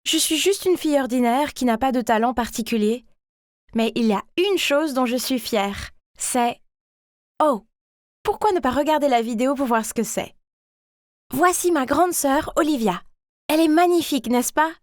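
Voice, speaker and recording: Woman playing very high-pitched chatty schoolgirl. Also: moderate pace, no echo, studio quality. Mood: cheerful, chatty. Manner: chatty, expressive, youthful. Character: chatty, ordinary schoolgirl, overlooked younger sister. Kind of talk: animated first-person story narration.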